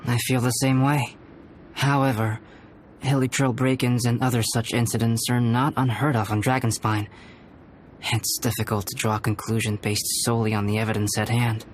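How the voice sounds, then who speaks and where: An actor playing a calm, cerebral young male alchemist in a video game, speaking formally, precisely and with composure. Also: mid-range voice, moderate pace, slight background noise, lightly acted. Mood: pensive, serious. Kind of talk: video game character acting. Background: background music.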